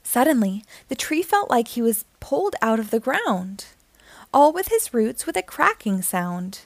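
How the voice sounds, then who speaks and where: A woman hosting storytime, reading a children's picture book aloud in an expressive, deliberate storytelling manner. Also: a little high, dry recording. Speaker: storytime host and reader.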